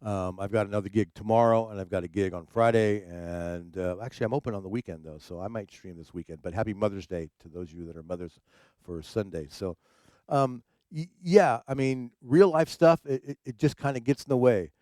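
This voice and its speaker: A man's voice: fairly low pitch, brisk, darkish tone, dry recording. A man who works as a DJ, hosting a casual livestream chat from his home studio, rambling with many fillers and repeated words. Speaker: streaming DJ and show host.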